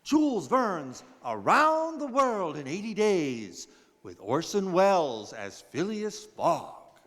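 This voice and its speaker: A man's voice: high voice, big pitch swings, slow, a bit bright. A man announcing a radio drama in a scripted introduction. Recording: reverberant hall.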